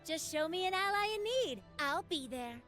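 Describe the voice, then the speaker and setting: A woman's voice: high-pitched, animated, normal speed, sharp tone, faint music behind. A woman playing a protective champion in a fantasy game. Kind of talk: scripted game character voice lines.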